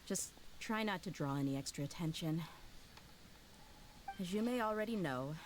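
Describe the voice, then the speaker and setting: A woman's voice: lowish voice, animated, moderate pace, slight background noise, natural voice. A woman voicing a tough, blunt young woman mercenary in a video game, speaking casually and with self-assurance. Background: game music.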